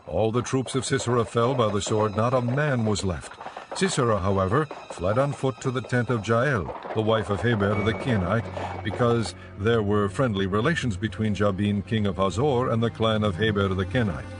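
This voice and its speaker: Low-voiced male scripture narrator. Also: moderate pace, noisy background.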